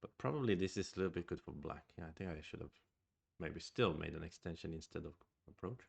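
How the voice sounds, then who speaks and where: Swedish guy streaming go, low gravelly voice, mumbles through his moves. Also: expressive, fast, a little mellow. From Sweden. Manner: thoughtful, hesitant musing aloud. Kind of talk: live-streamed game commentary.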